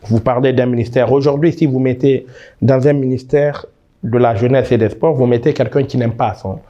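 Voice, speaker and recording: A man's voice: mid-range voice, fairly even intonation, moderate pace, mellow, lo-fi sound. A man in his thirties from Niger, answering interview questions with emphatic, passionate talk, often restarting words. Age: 30s. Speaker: guest.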